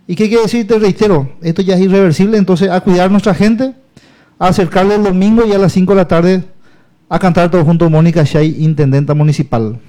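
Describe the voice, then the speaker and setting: A man's voice: sits high, fast, mellow. A man, a local politician talking about his campaign in a casual, rambling, emphatic way that repeats itself.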